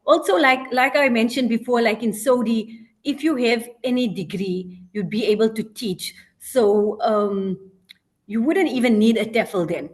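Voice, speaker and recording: A woman's voice: on the high side, measured pace. A South African woman, a teacher working abroad, speaking in a casual podcast interview, hesitant and full of ums and "you know".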